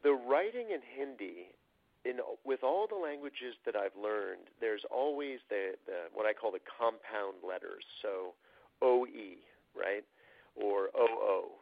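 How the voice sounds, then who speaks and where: A man's voice: lowish voice, lively intonation, takes it slowly, vocal fry, no echo. A man being interviewed as a guest, talking through his experiences in a reflective, explanatory way with plenty of fillers. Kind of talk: casual interview talk. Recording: tinny phone-like line, dry.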